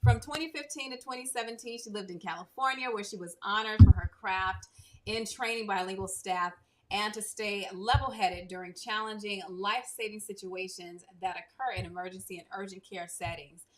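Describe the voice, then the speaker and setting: Woman host, mid pitch, enthusiastic, reads a bio with asides, video call audio. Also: moderate pace, low-quality recording. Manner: enthusiastic, adds asides while reading. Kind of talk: reading a guest bio and hosting.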